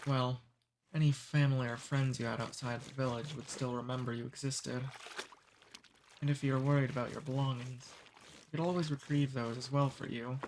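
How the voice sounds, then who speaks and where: A man voicing a friendly, centuries-old male demon in an ASMR roleplay. He talks calmly and teases gently. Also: mid-range voice, normal speed, a little hiss or hum, lightly acted, low-quality recording. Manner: calm, friendly, gently teasing.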